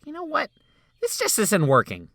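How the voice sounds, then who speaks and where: Goofy doctor for game fan dub, male actor: theatrical, exclamatory, bright forceful tone, wildly animated pitch. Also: normal speed, gravelly, dry recording, studio quality, full character voice. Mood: exasperated. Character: goofy, theatrical male memory-altering doctor, eager but weary.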